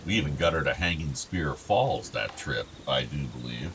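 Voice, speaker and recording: A man's voice: rumbling low, expressive, normal speed, a bit bright, a little hiss or hum, full character voice. A male actor playing a fond, outdoorsy middle-aged father of two grown sons in a naturalistic radio play. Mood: warm, reminiscing. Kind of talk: naturalistic radio drama dialogue.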